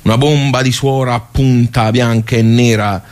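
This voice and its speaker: A man's voice: medium pitch, steady tone, moderate pace, a little mellow. A man with a Tuscan accent performing a colloquial, rambling storytelling monologue, full of asides to his listeners.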